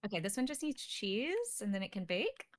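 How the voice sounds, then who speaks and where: A woman's voice: mid-range voice, very animated, moderate pace, no room sound, studio quality. A woman streaming a co-op cooking game, narrating her own moves aloud in a playful, casual way. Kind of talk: casual gaming chat.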